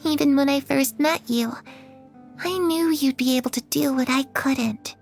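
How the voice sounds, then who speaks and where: A woman voicing a gentle, trusting young girl in a video game, earnest and softly wistful. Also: high voice, vocal fry, soft background music. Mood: reflective. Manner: soft, unhurried, earnest. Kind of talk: dramatic video game acting.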